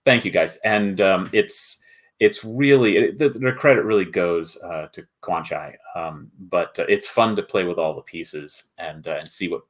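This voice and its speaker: A man hosting a casual livestream, explaining in a halting way and thinking aloud as he goes. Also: sits low. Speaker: host, board game video maker.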